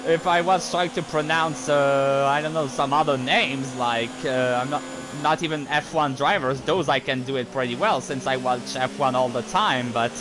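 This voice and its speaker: A man whose first language is French, casually commenting while playing a racing game, rambling and breaking into sudden outbursts. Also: a little high. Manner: rambling, easily distracted, quick outbursts. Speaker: gaming YouTuber. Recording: gameplay audio with clear engine noise.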